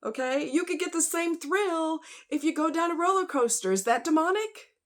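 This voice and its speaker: A woman's voice: extremely high pitch, expressive, moderate pace. A woman talking casually in a rambling opinion vlog, conversational and informal, with slang and rhetorical questions. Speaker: vlogger.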